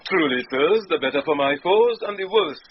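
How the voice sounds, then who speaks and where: An actor playing a quick-witted, mocking jester, full of teasing singsong wordplay in a classical Shakespearean radio comedy. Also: male voice, a little high, animated, close to an everyday voice. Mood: wry teasing. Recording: dull lo-fi radio recording, background noise.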